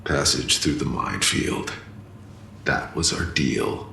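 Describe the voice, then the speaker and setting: A weary video game mercenary, terse and sardonic. The voice is low and gravelly with a hard edge, delivered slowly in an echoey space. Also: male voice, sharp tone, heavily acted. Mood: weary, dry. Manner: terse, dry. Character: weary, sardonic male mercenary, curt and unimpressed. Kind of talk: video game cutscene acting. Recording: echoey space with faint background noise.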